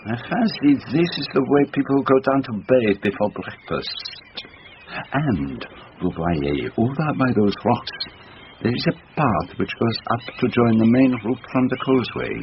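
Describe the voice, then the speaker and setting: An actor playing a shrewd, fastidious older detective with a French-speaking Belgian accent, musing precisely in a radio drama. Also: male voice, mid-range voice, sing-song. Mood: curious, courteous. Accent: French-speaking Belgian, acted. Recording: dull, noisy radio drama recording.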